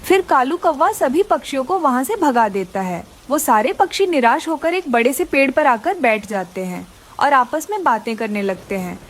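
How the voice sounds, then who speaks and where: A woman narrates a children's story in a high voice with lively movement in pitch. Her delivery is scripted storytelling for animation. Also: moderate pace.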